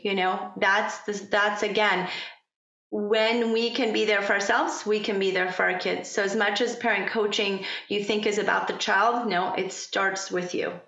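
A woman whose first language is Farsi, a podcast guest talking in a thoughtful, gentle way and laughing easily. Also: medium pitch, echoey room, dull audio. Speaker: podcast guest, parent coach. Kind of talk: casual interview chat.

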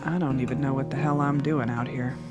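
A woman's voice: rumbling low, fairly even intonation, brisk, over loud music. Actress as direct, uncertain woman traveler. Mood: curious, uneasy. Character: inquisitive, uncertain woman traveler in post-war wilds. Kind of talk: radio drama acting.

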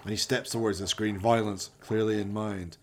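A man's voice: lowish voice, fairly even intonation, normal speed. A man narrating a comic horror radio play in a melodramatic, ominous storytelling manner.

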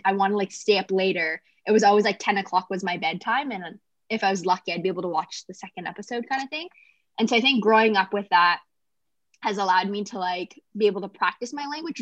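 A woman's voice: medium pitch, dry recording, lo-fi sound. A young woman from Canada, chatting casually in an interview and often saying "like". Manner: chatty, lots of "like" fillers.

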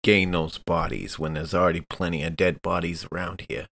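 A man's voice: deep voice, steady tone, moderate pace, creaky, fairly bright tone. A man playing a tabletop roleplaying game, casually describing his character and putting in thoughts.

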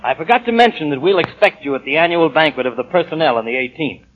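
Casting: absent-minded senior executive, formal and genial, husky lively voice, 1940s radio style. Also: male voice, fairly high pitch, quick pace. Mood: genial, distracted. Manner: hemming, formal. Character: genial, absent-minded older male company boss. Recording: dull old 1940 radio recording.

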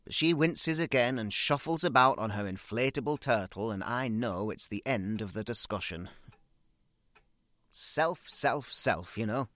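A man's voice: mid-range voice, expressive, unhurried, a bit bright, dry recording. A man playing a fussy, self-pitying grown son in an audio drama monologue, chattily grumbling and rambling in darkly comic asides. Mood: exasperated.

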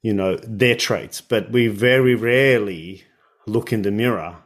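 A man's voice: on the low side, unhurried. A man hosting an interview podcast who reflects on and builds on his guest's points. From Australia. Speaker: podcast host. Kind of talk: conversational interview.

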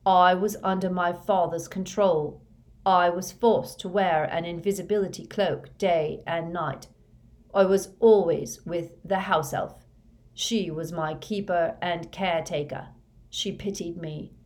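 Woman as flat, dazed villain confessing. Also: on the low side, unhurried, close to an everyday voice. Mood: dazed, emotionless. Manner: flat, expressionless, drugged monotone. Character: dazed younger male villain, fanatical servant, confessing emptily. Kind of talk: audiobook character voice.

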